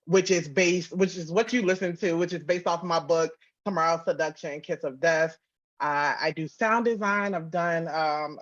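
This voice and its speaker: An American woman from Virginia answering interview questions conversationally as a podcast guest. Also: on the low side. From Virginia, United States. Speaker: podcast guest, audio drama creator. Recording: slightly dull, lo-fi call audio.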